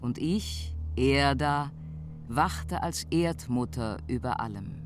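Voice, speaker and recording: A woman playing a solemn earth mother goddess who narrates a myth in a German fantasy radio drama. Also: rumbling low. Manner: solemn, measured. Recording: studio narration over music.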